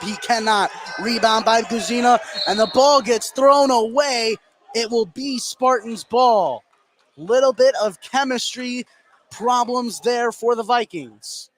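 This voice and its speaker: A man doing live play-by-play basketball commentary on the radio, narrating in short clipped phrases. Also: high-pitched. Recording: noisy gym ambience behind. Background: ambient noise of a basketball game.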